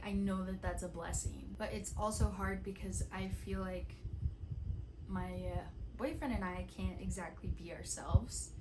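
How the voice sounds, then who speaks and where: A woman in her late twenties talking softly and thoughtfully at home, often restarting her sentences. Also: mid-range voice, noisy background. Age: 20s. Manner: soft-spoken, thoughtful, with many restarts and "like". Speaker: vlogger. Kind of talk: casual reflective chat to camera.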